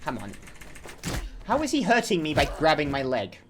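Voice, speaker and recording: A man's voice: on the high side, huge pitch swings, moderate pace, a bit bright, a little hiss or hum. A young man giving casual, rambling commentary while playing a video game, often cutting himself off mid-sentence. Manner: rambling, chatty, self-interrupting. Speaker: let's play host.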